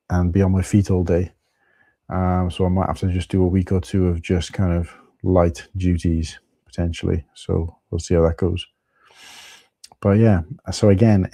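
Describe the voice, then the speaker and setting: A middle-aged man talks through a live stream in a husky, low, fairly flat voice. His tone is very dark and mellow, and the sound is dry and close. He rambles casually, with plenty of fillers and restarts. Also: no room sound. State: husky from tiredness.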